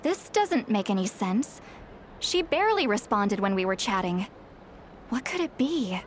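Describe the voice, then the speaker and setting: A woman's voice: mid-range voice, big pitch swings, measured pace, a little hiss or hum. A woman voicing a wary, stern self-styled granny with a villainous streak and a dry, commanding manner in a video game. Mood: businesslike, puzzled.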